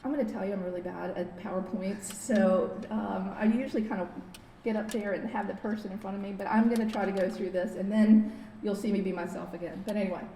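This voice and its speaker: A woman's voice: fairly even intonation, brisk, gravelly, few pauses, dark tone. A middle-aged woman who works as a biofeedback therapist, giving a friendly, informal wellness talk with slides at a podium microphone in a conference room, with many fillers and restarts. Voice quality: says she has a very low voice. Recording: roomy hall, faint noise.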